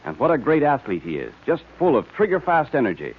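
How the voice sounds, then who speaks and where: A man's voice: mid-range voice, wildly swooping pitch, fast, breathy edge. A man announcing and narrating in the style of a 1940s radio serial, with an emphatic, salesmanlike delivery. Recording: tinny old radio recording.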